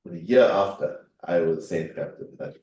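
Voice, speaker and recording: A man's voice: low voice, moderate pace, darkish tone, distant mic. A man from Kenya, a guest in a casual kitchen conversation, who laughs often and talks with many fillers. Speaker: interview guest. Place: home kitchen. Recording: roomy, from off-mic.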